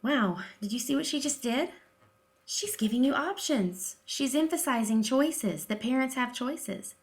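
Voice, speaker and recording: A woman's voice: sits high, animated, measured pace. Emphatic woman giving opinionated commentary. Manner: emphatic, sarcastic, rhetorical questions. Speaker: video commentator, deaf-education advocate.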